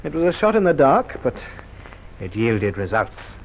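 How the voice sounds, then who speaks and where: An actor playing a brisk, methodical police superintendent recounting a case in an old radio crime drama, measured and authoritative. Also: male voice, medium pitch, sing-song, poor audio. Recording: old radio recording, light hiss.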